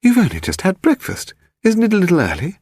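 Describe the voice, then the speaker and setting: Man putting on a high, sceptical wife. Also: huge pitch swings, brisk, darkish tone, breathy edge, dry recording, heavily acted. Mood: aghast. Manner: sweet, incredulous. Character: sweet-spoken, sceptical wife of a detective.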